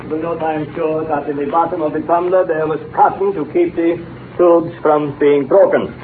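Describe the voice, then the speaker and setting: An actor playing an exacting older male science professor in 1930s radio drama, speaking in deliberate, didactic pronouncements on an old noisy recording. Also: on the high side, fairly even intonation, phone-quality sound, close to an everyday voice. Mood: dry, lecturing. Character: exacting older male bacteriology professor, blunt, devoted to research.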